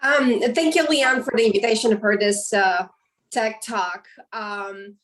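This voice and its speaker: A Romanian-accented woman in her forties speaks haltingly as a webinar guest, often pausing on ums and correcting herself mid-phrase. She sounds set back from the microphone, as if heard over a video call. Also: mid-range voice, a bit bright, far from the mic, poor audio. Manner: hesitant, many ums and restarts. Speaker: professor and guest. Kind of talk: webinar interview answer.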